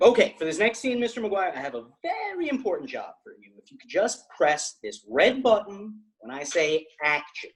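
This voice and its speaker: A man's voice: high voice, wildly swooping pitch, moderate pace, few pauses. A bossy teen boy director. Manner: bossy director, hammy mock kung-fu dub. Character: scheming teen boy filmmaker.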